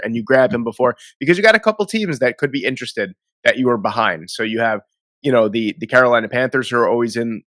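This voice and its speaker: A man's voice: medium pitch, a bit bright, no room sound. Congested man talking football. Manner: rambling, self-correcting, conversational. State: congested from a cold, nasal, sniffling. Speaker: host. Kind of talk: casual mock draft commentary.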